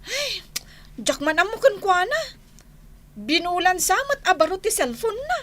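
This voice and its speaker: An excitable, tattling woman of the household in an Ilocano radio drama. Her voice is extremely high and bright, with animated swoops and a gossipy, exclamatory Ilocano-accented delivery. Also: measured pace, no room sound, exaggerated voice. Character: excitable, tattling female relative fussing over the household. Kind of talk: Filipino radio drama acting.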